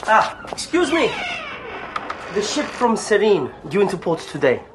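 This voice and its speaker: A man's voice: sits high, very animated, a little slow. An actor playing a polite, insistent middle-aged merchant and father in a naturalistic audio drama, speaking a little hesitantly. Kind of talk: naturalistic radio drama acting. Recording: noisy outdoor street ambience.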